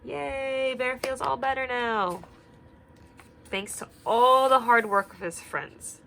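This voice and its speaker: A young woman teacher reading a picture book aloud and asking the children gentle questions, sometimes dropping to a whisper. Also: high-pitched, fairly even intonation, slow pace, vocal fry, slight background noise. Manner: drops to a whisper at quiet parts.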